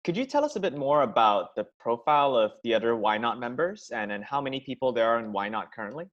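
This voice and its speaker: A man's voice: medium pitch, big pitch swings, fast talker, dull audio. A man moderating a panel, politely thanking guests and moving to the next question. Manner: polite, smooth transitions.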